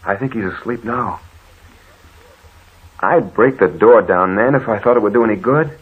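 An actor playing an earnest, protective husband in an old radio horror play. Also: male voice, on the low side, normal speed, breathy edge. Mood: reassuring. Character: protective, reassuring husband in a haunted house. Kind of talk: 1940s radio drama acting. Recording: tinny, hissy old radio recording.

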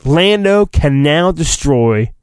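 A man's voice: a little high, animated, slow pace, clear, resonant tone, dry recording. A man hosting solo, reading a storybook in mock-dramatic fashion with rambling, sarcastic asides. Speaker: solo podcast host.

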